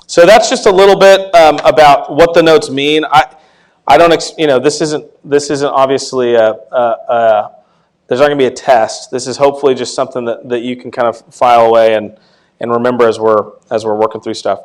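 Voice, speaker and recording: Man, tenor voice, mid pitch, halting with restarts, casual Sunday school teacher. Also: low-quality recording. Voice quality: tenor voice, not deep. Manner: halting, many restarts and fillers. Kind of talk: casual church class teaching. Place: church classroom.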